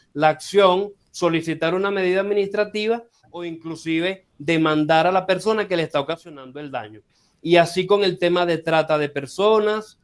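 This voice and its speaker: High-voiced man giving a formal statement. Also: clear, resonant tone. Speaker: human rights advocate.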